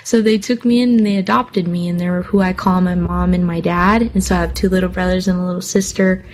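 A woman's voice: on the low side, fairly even intonation, fairly quick, slight background noise, low-quality recording. A young woman from Hawaii, a singer telling her life story in an interview, candid and earnest, with frequent fillers. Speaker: singer guest. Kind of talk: personal interview answers.

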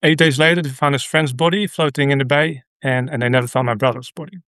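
Man, Dutch accent, middle-aged, earnest, halting, restarts sentences, dry studio sound. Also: medium pitch, fairly even intonation, fairly bright tone, no echo. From Netherlands. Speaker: radio guest. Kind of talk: conversational interview.

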